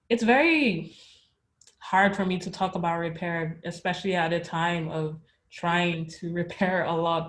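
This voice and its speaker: A young woman from Nigeria, an artist speaking reflectively in an interview, pausing often to search for words. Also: lowish voice, a little hiss or hum. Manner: thoughtful, searching, with pauses and fillers. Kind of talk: reflective conversation.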